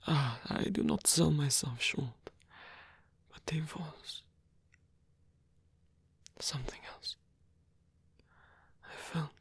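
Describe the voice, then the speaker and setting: A performer playing a lonely old male vampire in an ASMR roleplay, tender and wistful, speaking in a breathy, husky whisper with halting delivery. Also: medium pitch, exaggerated voice. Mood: wistful, adoring.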